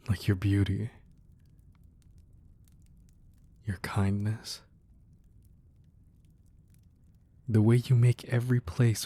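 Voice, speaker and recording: A man playing a devoted, protective crime boss boyfriend in a romantic ASMR roleplay, speaking softly and earnestly with hesitant pauses. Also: on the low side, slightly rough. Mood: affectionate. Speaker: audio roleplay performer. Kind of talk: romantic ASMR roleplay, intimate scripted monologue.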